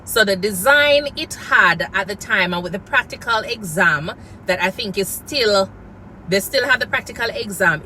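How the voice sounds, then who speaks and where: A woman's voice: mid-range voice, pushed and bright, slight background noise, rough recording. A woman from Jamaica with a Jamaican accent, a nurse giving earnest advice in a casual vlog and slipping into patois phrases. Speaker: nurse and nursing coach. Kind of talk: casual vlog talk to camera.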